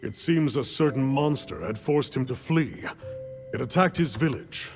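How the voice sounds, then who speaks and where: An actor playing a composed guild superior in a game cutscene, speaking formally and with measured deliberation. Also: male voice, mid-range voice, lively intonation, gravelly, soft background music. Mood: grave, measured. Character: composed, authoritative male guild superior giving orders. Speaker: guild official briefing a hunter. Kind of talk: cinematic game cutscene briefing.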